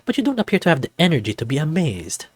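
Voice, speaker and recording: A man's voice: on the high side, wildly swooping pitch, quick pace, slightly rough, no echo, voice pushed up, heavily acted. A flamboyant snow leopard resort host, acted out by a man, with a raised, lilting voice. He is theatrical and campy, like a grand hotelier in a visual novel, and is recorded close and dry. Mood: breezy, gossipy. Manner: dramatic, lavish wording, campy.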